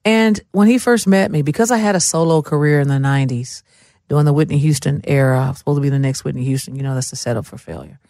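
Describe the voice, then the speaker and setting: A woman's voice: low voice, fast talker, mellow, dry recording, clean studio sound. A middle-aged woman from Memphis, telling stories casually and with emphasis as an interview guest. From Memphis, Tennessee, USA.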